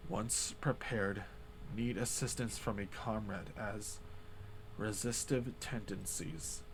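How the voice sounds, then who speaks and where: Guy reading as creepy journal writer, low hushed slow voice, ominous. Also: fairly even intonation, gravelly, background noise. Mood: grim hushed. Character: grim unseen author of a ritual journal.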